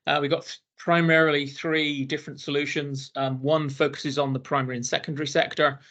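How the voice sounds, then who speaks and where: A man from the UK presenting a business webinar, pausing often with ums and uhs. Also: fairly high pitch, level tone, fairly bright tone. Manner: hesitant, many ums and uhs.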